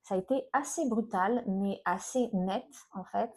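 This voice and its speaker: A middle-aged woman speaks with an accent from France in a soft-edged, mellow tone. She talks informally, as if to her own online followers, and often stops to search for the next word. Her pitch sits in an average range for a woman. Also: a little slow. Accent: French from France. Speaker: self-employed energy healer and coach, video host. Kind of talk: personal vlog, informal talk to viewers.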